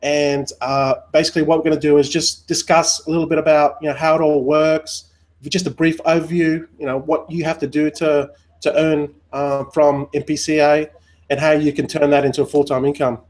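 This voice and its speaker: A man's voice: on the high side, flat delivery, normal speed, darkish tone. A man hosting a live webinar, talking loosely with lots of fillers and a friendly manner. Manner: rambling, many fillers, friendly. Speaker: webinar host.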